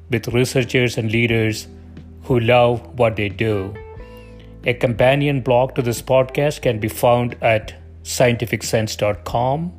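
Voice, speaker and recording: A man hosting a podcast, reading a set introduction and then talking casually with many hesitations. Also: mid-range voice. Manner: hesitant, many fillers in conversation. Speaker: podcast host.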